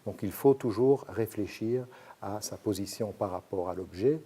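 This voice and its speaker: A man from Belgium, a sociologist explaining his research in measured, hesitant sentences. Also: on the low side, dark tone, breathy edge. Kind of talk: academic interview answers.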